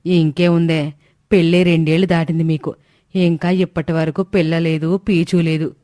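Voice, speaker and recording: A woman's voice: low voice, level tone, moderate pace, mellow, dry recording, studio quality, lightly acted. A woman voicing a worried middle-aged mother in a story, nagging and coaxing.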